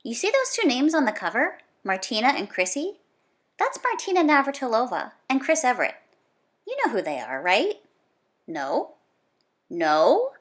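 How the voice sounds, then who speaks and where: A woman reading a children's book aloud like a teacher, in an animated, conversational way with emphatic stress on repeated words. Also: on the high side, normal speed.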